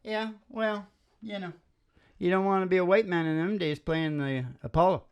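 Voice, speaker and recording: A woman's voice: fairly low pitch, steady tone, moderate pace, clear, resonant tone. A woman chatting casually and rambling, with an irreverent, salty way of talking, as host of a music show. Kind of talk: casual rambling chat and acoustic country and rockabilly singing.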